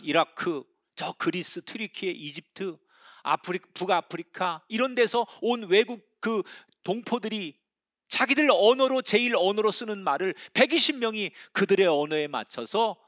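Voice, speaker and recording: A middle-aged man preaches in a high voice with a very lively, sweeping intonation. He tells the story dramatically, dropping to hushed whispers and then rising to loud exclamations. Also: normal speed. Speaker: pastor. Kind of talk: sermon.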